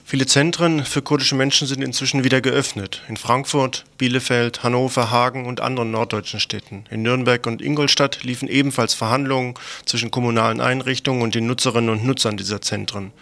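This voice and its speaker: A male radio news presenter reads from a script. His voice sits in a middle pitch and has a gravelly, creaky edge. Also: steady tone, fairly quick, hardly any pauses, a bit bright.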